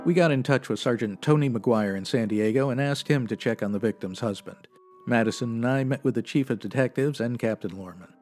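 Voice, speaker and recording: A man acting as a 1950s radio police sergeant with a medium-pitched voice. He is stern, dry and unflappable, speaking in clipped, deadpan sentences. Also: fairly quick, soft background music, natural voice. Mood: matter-of-fact narration. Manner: deadpan, clipped, matter-of-fact.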